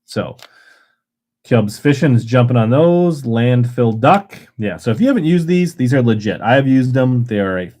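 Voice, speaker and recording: A man's voice: medium pitch, lively intonation, normal speed, darkish tone. A man hosting a casual livestream auction, chatting in a rambling way and reading viewer comments aloud. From Minnesota. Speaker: live auction seller.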